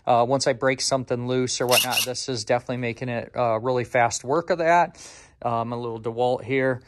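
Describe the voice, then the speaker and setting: American guy, mechanic doing a casual garage tool walkthrough, chatty and kinda rambly, medium pitch. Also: fairly even intonation, a little fast, background noise. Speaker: motorcycle mechanic and channel host. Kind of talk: casual workshop walkthrough to camera. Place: motorcycle repair garage workshop, indoors.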